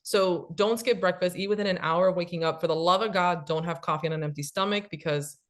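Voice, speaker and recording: A woman in her late thirties talks in a quick, eager rush, piling on fillers as she explains. Her voice sits a little low for a woman. She sounds like a guest on a casual chat show. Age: 30s. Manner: fast, animated, many fillers, explanatory. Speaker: guest, cookbook author.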